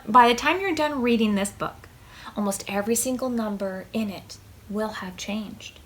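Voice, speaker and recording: A woman hosting a preschool storytime, reading a picture book aloud with deliberate, expressive phrasing for small children. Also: mid-range voice.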